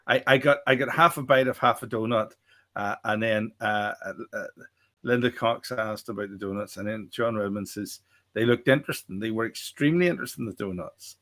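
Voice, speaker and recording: A man's voice: medium pitch, fairly bright tone. A man with a Northern Irish accent co-hosting a casual chat, rambling, repeating himself and joking as he reads out viewer comments. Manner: rambling, repeats words, jokey. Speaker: co-host.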